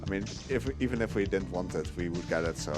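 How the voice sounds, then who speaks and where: Guy commentating his speedrun, chuckles a lot, lowish voice that bounces around, game music under it. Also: quick pace. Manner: laughs often, relaxed explaining.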